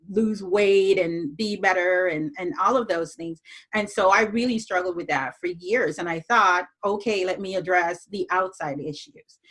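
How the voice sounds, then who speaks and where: A woman's voice: fairly low pitch, a bit bright. A woman from Jamaica, a podcast guest, talking through her story in a reflective way with many fillers and restarts. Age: adult, moved abroad as young teen. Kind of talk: casual interview talk.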